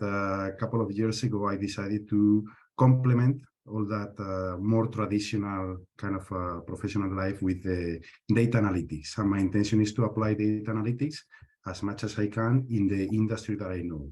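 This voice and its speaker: A man from Spain introduces himself as a student, pausing on fillers between phrases. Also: lowish voice, darkish tone, slightly rough. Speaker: student, chemical engineer.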